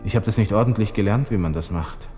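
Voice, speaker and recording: A proud young Viennese army lieutenant acted by a man with a low voice, brooding and self-dramatizing. He talks to himself in abrupt colloquial bursts, as in an old radio play with music. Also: quick pace, warm tone, lightly acted. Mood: anguished, self-pitying. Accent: Viennese Austrian. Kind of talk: 1960s German radio drama inner monologue.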